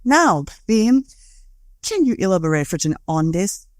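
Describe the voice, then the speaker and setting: A student in their late teens with a Thai accent, co-hosting an educational podcast in a scripted, lively reading. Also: mid-range voice, unhurried, no echo, clean studio sound. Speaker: co-host.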